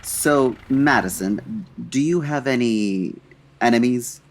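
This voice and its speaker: An actor playing a suave, dry-witted 1940s gentleman detective, urbane and measured, in an old-time radio style. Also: medium pitch, close to an everyday voice. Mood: wry, probing.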